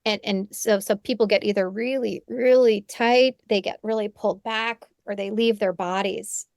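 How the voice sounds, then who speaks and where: A woman's voice: mid-range voice, steady tone, normal speed, a little mellow, dry recording, studio quality. A woman in her forties, a podcast host and psychotherapist, speaking thoughtfully in conversation, often restarting and checking in with "right?". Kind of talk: reflective conversational interview. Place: home room. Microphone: headphones on a video call.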